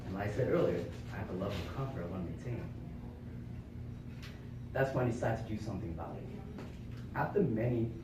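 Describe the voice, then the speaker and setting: A young man gives a prepared speech to a small audience, working through examples and rhetorical questions in an earnest, explanatory way. His voice sits on the low side. The camera is far away, so the room echo is heavy and background noise comes through clearly. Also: fast talker, pauses often. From born in Vietnam, raised in Florida. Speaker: club speaker.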